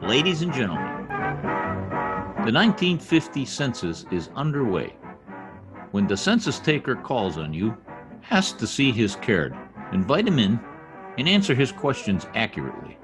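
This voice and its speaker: A man's voice: mid-range voice, wildly swooping pitch, normal speed, pauses often, music in the background. A man playing a blustery, slangy middle-aged husband in a recreated 1950s radio comedy. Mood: earnest announcing. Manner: folksy slang, dropped grammar, exclaiming.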